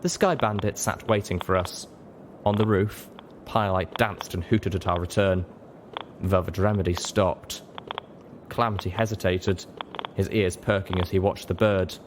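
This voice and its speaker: A man's voice: low voice, fairly even intonation, moderate pace, noisy background. A man narrating a story in a dramatic audiobook style, as its reader.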